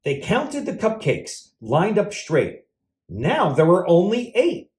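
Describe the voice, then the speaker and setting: Very animated man reading to children, roomy echo. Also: high-pitched, slow pace. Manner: theatrical, exaggerated storyteller delivery. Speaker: children's entertainer and teacher reading aloud. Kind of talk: animated picture-book read-aloud for children.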